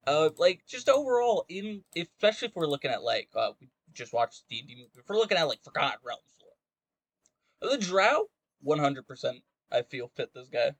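A man's voice: fairly high pitch, sing-song, moderate pace, no room sound. A man in casual, opinionated conversation as a podcast co-host talking fantasy gaming lore.

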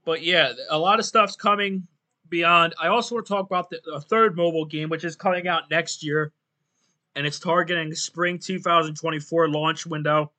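A man hosting his own podcast in a casual, rambling solo talk, his voice going hoarse and often clearing his throat. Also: fairly high pitch, steady tone, moderate pace, bright, forceful tone. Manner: rambling, loose and self-deprecating, many fillers. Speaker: podcast host.

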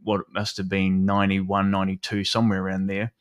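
Brief: New man, lowish voice, laid-back host, salty and chatty. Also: fast, no echo, lo-fi sound. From New Zealand. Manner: relaxed, swears casually, laughs easily. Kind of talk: casual chat.

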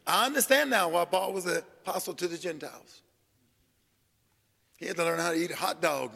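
An older man preaching a sermon, telling humorous stories in a folksy, animated way and acting out the voices of people he quotes. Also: sits high, fairly quick, creaky, in a large hall. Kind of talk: sermon storytelling.

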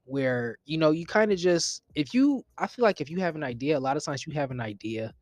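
A man's voice: a little high, animated, a little fast, no room sound, clean studio sound. A man around twenty co-hosting a podcast, talking earnestly about goals and chasing dreams, with frequent ums. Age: 20s. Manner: earnest, motivational, hesitant fillers. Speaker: podcast co-host. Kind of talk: casual podcast chat.

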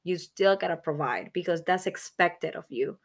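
Low-voiced woman in her thirties chatting. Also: normal speed, vocal fry. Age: 30s. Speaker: podcast co-host. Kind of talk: casual chat.

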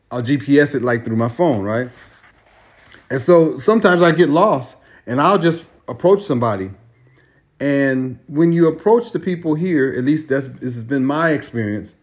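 Rambling American man, casual voice note. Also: medium pitch. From United States. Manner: rambling, repeats phrases, self-corrects. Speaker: traveler sending a voice message. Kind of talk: casual spoken monologue. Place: Australia.

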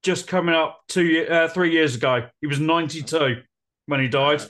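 A man's voice: on the high side, moderate pace, fairly bright tone. A man co-hosting a casual film podcast who reels off facts and trivia about the films. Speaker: podcast co-host.

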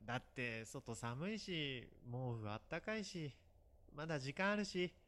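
Man playing lazy schoolboy with swooping pitch. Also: lively intonation, a little slow, a bit bright. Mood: lazy, whiny. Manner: drowsy, whiny, casual. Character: lazy, easygoing sleepy high school boy. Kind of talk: Japanese voice drama phone-call dialogue.

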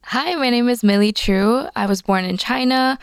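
A woman in her twenties who grew up in Singapore, a student guest giving earnest, measured answers on a podcast. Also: fairly high pitch, clean tone, no echo, studio quality. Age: 20s.

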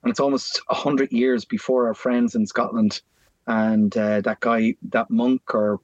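A man's voice: mid-range voice, steady tone, measured pace, no room sound. Irish man, rambling explainer, dry close sound, slightly muffled lo-fi audio. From Ireland. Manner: rambling, explanatory, hesitant with fillers and restarts. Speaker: guest, whiskey maker. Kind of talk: casual conversational storytelling.

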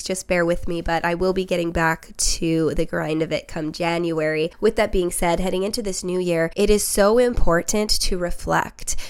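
Young woman podcaster, a bit nasal from being sick, smooth lower voice, earnest and encouraging toward the listener. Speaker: podcast host and spiritual reader. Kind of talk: solo reflective podcast monologue.

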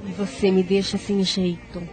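A woman's voice: mid-range voice, flat delivery, moderate pace, over music, close to an everyday voice. An actress playing a seductive, yearning woman in love, in a Brazilian radio soap, with a Brazilian accent.

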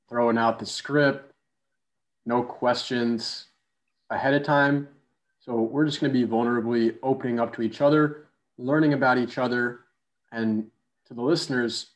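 A man hosting a casual podcast interview in a relaxed, easygoing way. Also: mid-range voice. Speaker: podcast host.

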